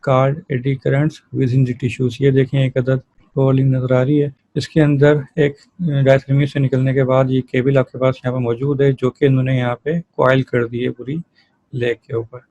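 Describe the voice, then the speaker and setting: A man's voice: medium pitch, level tone, normal speed, warm tone, no room sound, highs cut off. A man lecturing in an explanatory, didactic way, mixing technical terms with plain explanation. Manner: didactic, reads terms out then explains them. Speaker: physiotherapy lecturer.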